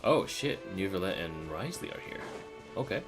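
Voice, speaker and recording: A woman's voice: a bass voice, sing-song, normal speed, music in the background. A woman streaming a game playthrough with casual, muttered reactions.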